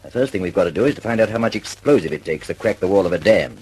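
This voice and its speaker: A man's voice: low-pitched, animated, rapid-fire, darkish tone, slightly rough, poor audio. A man playing an authoritative, supportive senior British government official in a radio drama, decisive and methodical. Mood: encouraging, decisive. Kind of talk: mid-century British radio drama acting.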